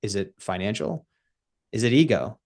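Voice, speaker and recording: A man's voice: lowish voice, lively intonation, moderate pace, darkish tone. A man in his thirties guesting on a radio show as a coach, speaking with an emphatic coaching cadence and a casual, easygoing manner. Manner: laughs easily, casual. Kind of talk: radio interview.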